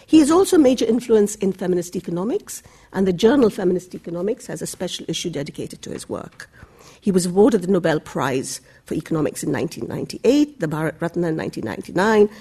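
A woman from Bangladesh chairing a public panel discussion, giving formal introductions in a measured way with occasional fillers. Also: medium pitch, mellow, breathy edge.

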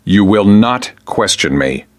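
A man reading the part of a wary, commanding king, in a low and stern manner. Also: expressive, takes it slowly. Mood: guarded.

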